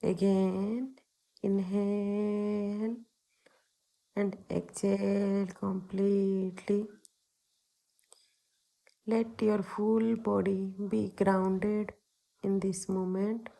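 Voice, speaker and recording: A woman guides a meditation in a very soft, dark and relaxed voice. She speaks extremely slowly, leaving long pauses between short instructions. Her delivery stays level and soothing throughout. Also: medium pitch, gravelly. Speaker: meditation guide, yoga teacher.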